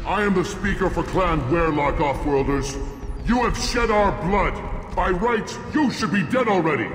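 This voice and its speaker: Game villain: bloodthirsty alien clan spokesman. High register for a man, grandiose threats, long reverb, faint music. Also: lively intonation, a little slow. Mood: menacing. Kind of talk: video game villain acting.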